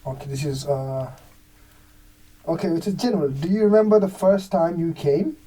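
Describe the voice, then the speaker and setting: A man in his late twenties talking in a casual chat, relaxed and joking with dry humor. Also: on the high side, moderate pace, a little hiss or hum. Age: 20s. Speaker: guest. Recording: distant mic.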